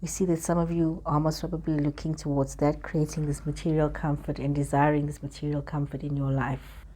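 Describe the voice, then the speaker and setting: A woman's voice: deep voice, level tone, a little fast, warm tone, slight background noise. A woman giving a semi-improvised tarot reading straight to the viewer, circling back over her phrases as she searches for words. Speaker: tarot reader hosting her own channel.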